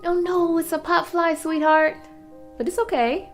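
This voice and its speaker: A woman acting a gentle, caring wife and mother in audio drama dialogue. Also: extremely high pitch, measured pace, soft background music. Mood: soothing.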